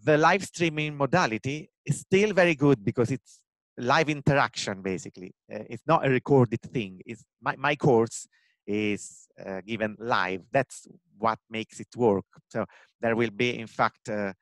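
A man's voice: mid-range voice, measured pace, no room sound. Italian-accented man in his forties, earnest interviewee on a headset mic, dry sound. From Italy, Rome. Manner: earnest, many fillers and restarts. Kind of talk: video call interview. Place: home room with bookshelves.

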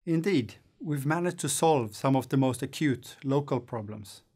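A man, presenting a scripted lecture in a studio in a measured, thoughtful way. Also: mid-range voice, lively intonation, a little mellow, breathy edge. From Sweden. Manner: measured, thoughtful explaining with hand gestures. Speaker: course lecturer. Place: studio against a green screen.

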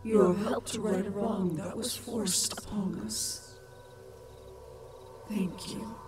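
An ancient female tree spirit, voiced by a woman, speaks in a hushed, unhurried whisper. Her words come very slowly and solemnly. Loud music plays beneath the whole recording. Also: medium pitch, fairly even intonation, natural voice. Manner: slow, solemn and blessing. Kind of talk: audio roleplay acting. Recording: loud music bed behind the voice.

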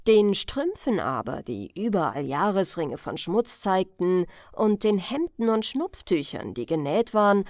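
Female audiobook narrator for fairy tales: deliberate, expressive storybook delivery, slow tempo, lively intonation. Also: medium pitch, no echo, clean studio sound. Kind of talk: scripted fairy tale narration, bedtime storybook reading.

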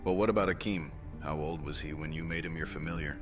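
A man plays a Roman soldier in a fantasy audio drama. His voice is very low, his temper easygoing and teasing. Quiet music runs underneath. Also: fast. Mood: friendly. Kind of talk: immersive audio drama roleplay. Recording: music behind the voice.

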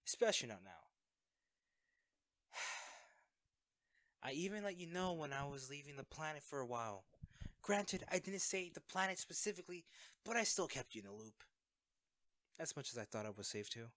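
Man acting young male superhero, sheepish best friend, audio roleplay, very expressive intonation, dry close sound. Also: a little high, moderate pace, pauses often, no echo. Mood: earnest. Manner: hesitant, sighing, sheepish.

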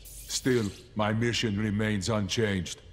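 Stoic killer cyborg, video game, low voice, mechanical and terse, heavy noise behind. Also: male voice, slow pace. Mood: cold, flat. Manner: flat, terse, mechanical delivery. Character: stoic, menacing humanoid killer robot, adult male. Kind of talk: video game character dialogue. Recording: long reverb, loud effects noise, clicks.

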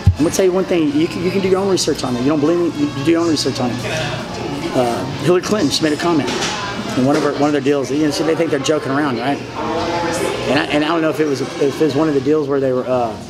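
A man's voice: on the high side, fast talker, over loud music, rough recording. Emphatic man in his forties, rambling. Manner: emphatic, repetitive, gestures broadly while talking. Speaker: interview guest. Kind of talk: rambling monologue, telling his story. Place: indoor restaurant booth.

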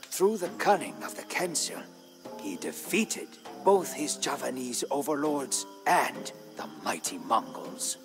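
An actor playing a proud, loyal Indonesian royal guard commander, narrating his tale in a solemn, weighty, measured storyteller's manner. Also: medium pitch, very animated. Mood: devoted, solemn. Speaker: campaign narrator. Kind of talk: dramatic scripted narration. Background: music.

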